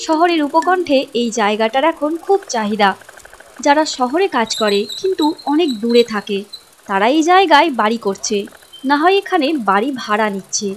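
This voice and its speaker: A woman's voice: fairly high pitch, a little hiss or hum. A woman narrating a cartoon moral tale in a scripted storytelling style.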